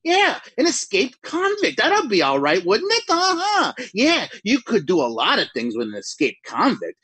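A man's voice: high-pitched, huge pitch swings, normal speed, pushed and bright, breathy edge, no room sound, exaggerated voice. A man playing a jumpy writer alone at night, rambling and mocking himself in 1940s radio acting style. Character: jittery lone male writer talking to himself.

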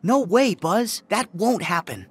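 A man playing an earnest, kind-hearted teenage hero in an animated game, sincere and encouraging. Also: sits high, unhurried, slightly rough, faint music behind, full character voice. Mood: reassuring.

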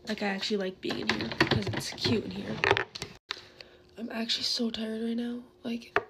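Teen girl rambling with lots of "like", a bit high, gravelly fried voice, noisy phone mic close up. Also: steady tone, normal speed. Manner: rambling, heavy use of "like", chatty. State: losing her voice. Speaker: beauty vlogger. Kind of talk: casual get-ready-with-me chat. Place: bedroom at a vanity desk, indoors. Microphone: phone camera close in front.

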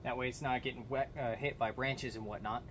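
A man's voice: medium pitch, steady tone, brisk, fairly bright tone. A young American man giving instructional how-to narration as host of a DIY car channel. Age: young adult. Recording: clear background noise, clicks and jangling.

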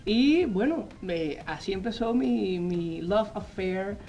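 A man, a magazine editor telling his story as a guest in a TV studio interview, animated and full of "eh" fillers, speaking into a lapel mic. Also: sits high, slow pace, creaky, highs cut off. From Colombia. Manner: animated storytelling, frequent "eh" fillers, gestures while talking. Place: TV news studio set.

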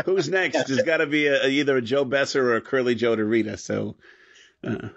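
A male podcast host speaks casually in a mid-pitched voice, with a joking, teasing way about him. The sound is a little dull, as on a lo-fi recording. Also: moderate pace, creaky, fairly bright tone.

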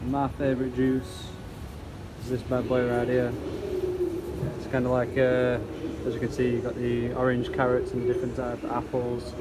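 A man's voice: medium pitch, normal speed. A man casually narrating a walk through a supermarket, chatting about products and prices as he films. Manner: relaxed, chatty, pointing out prices. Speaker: vlogger touring a supermarket. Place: large supermarket indoors, off camera. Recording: loud store air-conditioning hum behind.

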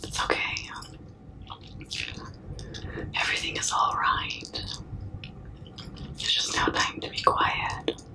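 A young woman doing a comforting ASMR roleplay in a breathy, unvoiced whisper, hushing and soothing up close to the mic. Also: distant mic, low-quality recording. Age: young adult. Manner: slow, hushing, soothing, repetitive. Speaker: ASMR performer. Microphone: close mic, very near the camera.

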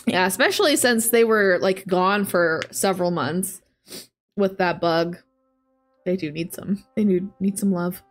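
Relaxed young woman streamer musing aloud. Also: on the low side, measured pace, faint music behind. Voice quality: slightly raspy today. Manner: chatty, thinking aloud, soft asides to chat. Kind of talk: casual gameplay commentary. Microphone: headset.

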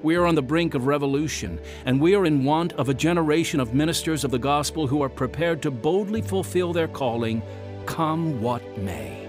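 A man's voice: on the high side, lively intonation, moderate pace, music in the background. A man working as a radio announcer and narrator, reading a script in an earnest, emphatic, rhetorical manner.

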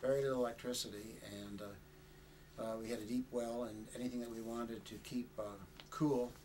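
A man's voice: medium pitch, level tone, moderate pace. Older man recalling, hesitant and chuckling. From Canada. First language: Chinese. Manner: rambling, hesitant with many uh's, chuckles often. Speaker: interviewee. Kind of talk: oral history interview. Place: indoor studio with flag backdrop.

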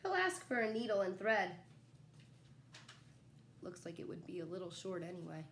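A young adult woman reading a children's picture book aloud like a teacher, in an animated, expressive way with asides to young listeners. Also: lowish voice, a little hiss or hum. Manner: animated, pausing to ask young listeners questions. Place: small living room at home, indoors. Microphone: phone camera a short distance away.

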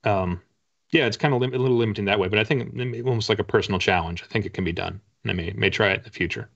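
A middle-aged man from Canada talks in a fairly low voice, like an author in a relaxed interview. His manner is conversational and self-deprecating. The video-call audio is a little muffled. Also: racing, gravelly. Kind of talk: casual interview chat. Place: book-lined room indoors, video call.

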